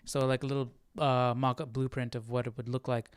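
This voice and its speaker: A man hosting a live Bible study in a casual, halting, conversational way, often checking in with listeners as he explains. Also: mid-range voice, level tone, clean tone, dry recording. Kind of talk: casual livestream Bible teaching.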